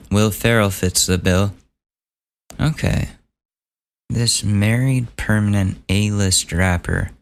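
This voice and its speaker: A man hosting a solo podcast, losing his voice and hoarse, casually reading out gossip items and swearing as he thinks aloud. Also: fairly low pitch. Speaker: podcast host.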